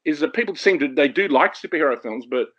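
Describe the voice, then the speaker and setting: Fast-talking man on a dull lo-fi line. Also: fairly high pitch, expressive, a bit bright. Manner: rambling, opinionated, quick. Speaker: guest filmmaker. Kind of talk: podcast discussion.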